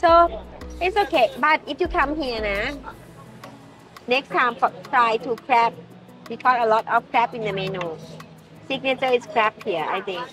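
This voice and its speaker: A woman's voice: fairly high pitch, normal speed, pauses often, a bit bright, faint music behind. A Thai woman hosting a food vlog in simple, broken English with Thai words mixed in, giggling often, at an outdoor restaurant table at night, recorded by a camera across the table. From Thailand. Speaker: vlog host. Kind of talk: casual food vlog chat.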